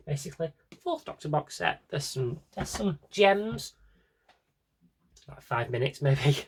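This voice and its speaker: A man's voice: mid-range voice, darkish tone, a little hiss or hum. A British man chatting casually through a vlog-style review, wry and opinionated, with rambling asides. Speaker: vlogger showing a collection.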